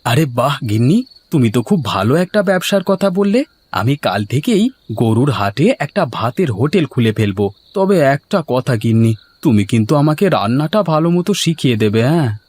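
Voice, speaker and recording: A man playing an excitable, cheerful village husband and food seller in a cartoon folk tale, with exclamatory, folksy speech. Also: medium pitch, normal speed, slightly rough. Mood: pleased. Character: cheerful, excitable village husband turned rice-hotel owner.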